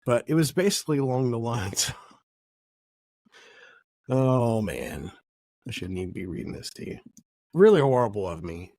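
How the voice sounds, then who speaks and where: A middle-aged podcast host sounds stuffy and nasal from a cold. He muses aloud in a loose, wandering way, doubling back on his words. His voice sits at an ordinary pitch in a dry, close recording. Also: big pitch swings, moderate pace, pauses often, dark tone, no echo. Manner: reflective, rambling, self-correcting. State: has a cold. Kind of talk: casual podcast monologue.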